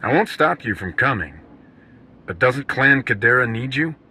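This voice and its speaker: A roguish commander from a video game. His voice is very bright and forceful at a middle pitch, and he talks bluntly, giving orders. There is faint noise behind him. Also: lively intonation, moderate pace. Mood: firm, brisk. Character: brisk, no-nonsense roguish male hero in command. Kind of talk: scripted video game acting.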